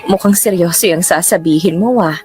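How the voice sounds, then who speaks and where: A woman's voice: fairly low pitch, fairly quick, a little mellow, close to an everyday voice. A woman acting an older girlfriend, lovelorn and gracious, in a radio drama. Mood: anxious, hurt. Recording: music bed under voice.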